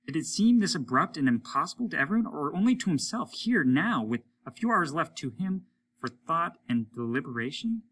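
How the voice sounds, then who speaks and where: Bright, forceful man reading a story. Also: on the high side, sing-song, a little fast, slight background noise. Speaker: story reader, teacher. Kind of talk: dramatic audiobook narration.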